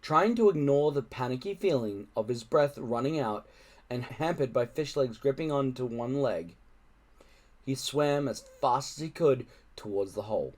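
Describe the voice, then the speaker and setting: A man reading a children's adventure book aloud in an expressive narrating style. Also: mid-range voice, normal speed. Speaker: audiobook-style reader.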